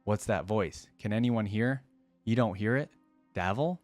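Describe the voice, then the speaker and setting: A man reading as a terrified village militiaman, a lone survivor, in halting, dramatic short phrases. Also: fairly low pitch, no echo, faint music behind, natural voice. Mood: fearful, pleading.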